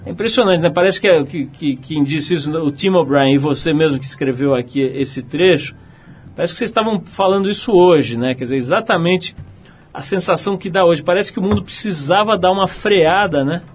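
A man's voice: fairly high pitch, brisk, slightly rough, soft background music. A Brazilian man hosting an interview, talking casually with frequent tag questions and reading a passage aloud. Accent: Brazilian Portuguese. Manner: chatty, many "né?" tags, reads a passage aloud. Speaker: radio interviewer. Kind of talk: conversational interview.

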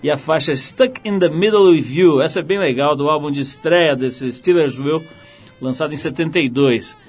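Man, radio host, somewhat high pitch, joking tone, faint background music. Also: normal speed. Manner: jokey, laughs while asking. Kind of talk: casual radio interview with music breaks.

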